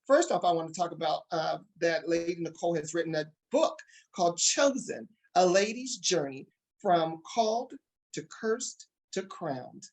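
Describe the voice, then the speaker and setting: A man's voice: on the high side, animated, normal speed, creaky, darkish tone, rough recording. A man hosting a talk show, interviewing guests and addressing viewers with showman phrases and slogans.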